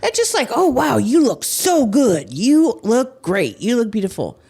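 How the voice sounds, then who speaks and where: A woman hosting a podcast, chatting candidly and with animation, her loudness swinging up and down. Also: fairly high pitch, a little slow, gravelly, husky. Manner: candid, animated, swings between loud and soft. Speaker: podcast host. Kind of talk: casual chat.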